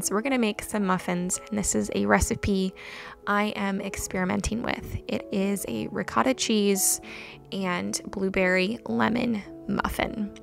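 Young female narrator, calm and gentle, slight fry, light music bed. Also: medium pitch. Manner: gentle, unhurried, with soft laughs. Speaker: homesteading vlogger. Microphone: voice-over recorded separately.

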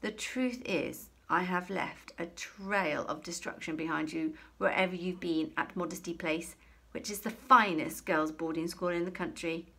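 A woman voicing a pompous, furious headmistress who barks and booms her orders. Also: a little slow, fairly bright tone, husky, full character voice. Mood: stern. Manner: barking, booming, curt. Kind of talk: storybook read-aloud.

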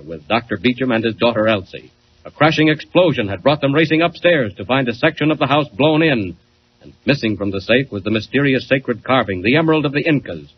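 A male announcer narrates a 1940s radio adventure in a dramatic, scripted style. His pitch is average, and the old recording is muffled with light noise. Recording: dull, noisy 1940 radio recording.

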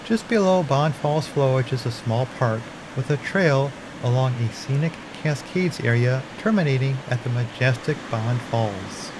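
A man narrates in a measured, informative voice, his pitch sitting in an ordinary middle range. The delivery is scripted and even. A clear hiss of running water sits behind him. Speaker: fishing video narrator.

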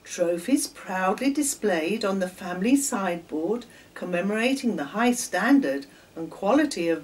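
An English woman in her sixties tells children's stories in a lively, swooping voice. She takes her time and leans on words theatrically. The microphone sits some way off in a kitchen. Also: medium pitch. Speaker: children's storyteller. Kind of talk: expressive read-aloud storytelling for children. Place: home kitchen, indoors. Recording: distant microphone in a room.

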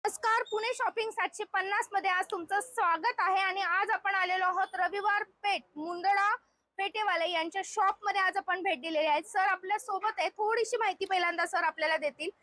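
A woman's voice: extremely high pitch, fairly even intonation, a little fast, bright, forceful tone, no echo. A woman hosting a casual shopping vlog, chattily explaining things to viewers inside a shop. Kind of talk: casual shop tour presentation.

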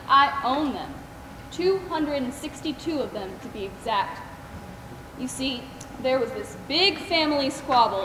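A high-pitched, folksy Southern woman, tender and devout, acted by a woman in a stage play. She is heard from far off in an echoey theater with clear background noise. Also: lightly acted. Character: kind, devout Southern woman caring for the dying. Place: large theater stage, recorded by far camera.

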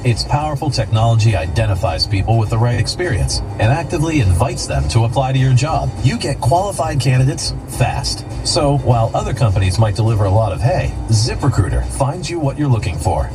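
A man's voice: mid-range voice, expressive, a little fast, few pauses, low-quality recording. A man narrating a scripted radio advertisement in a conversational way. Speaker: commercial narrator. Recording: car radio pickup, music bed.

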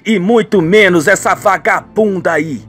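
A man's voice: sits high, measured pace, a bit bright, slightly rough, soft background music, exaggerated voice. A man playing a disillusioned, indignant young adult son in a radio soap opera.

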